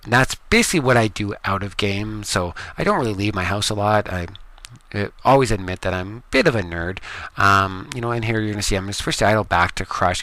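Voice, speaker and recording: A Canadian man giving relaxed, rambling gameplay commentary from his home room, with plenty of ums. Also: lowish voice. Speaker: gaming video creator.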